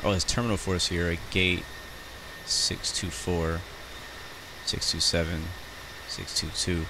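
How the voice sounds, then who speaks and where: An American man with a deep, low voice talks loosely through a flight sim stream and answers chat as he goes. Steady engine noise and radio chatter sit clearly under his voice. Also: slow. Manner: relaxed, chatty, greets chat viewers. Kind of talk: casual live-stream commentary. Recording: noisy background.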